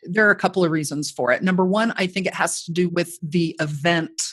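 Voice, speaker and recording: Forties woman, podcast guest; warm-toned radio voice, conversational and self-deprecating, dry booth sound. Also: fairly low pitch, brisk, no echo. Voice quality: voice people call made for radio. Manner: chatty, self-deprecating, frequent "you know". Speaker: guest, course creator. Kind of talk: casual podcast interview.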